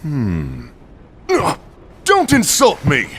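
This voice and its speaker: Confrontational fantasy warrior, very animated pitch, measured pace, blunt, sneering. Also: male voice, breathy edge, full character voice. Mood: indignant, scornful. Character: gruff hot-tempered confrontational male fantasy warrior. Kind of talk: animated fantasy game cutscene acting.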